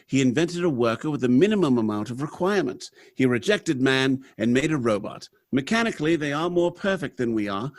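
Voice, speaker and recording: A man's voice: medium pitch, lively intonation, a little fast, few pauses, lightly acted. An actor playing a proud, unsentimental robot factory manager in an old-time radio drama, theatrical and confident as he explains things. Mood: amused, lecturing. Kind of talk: live recreation of 1930s radio drama.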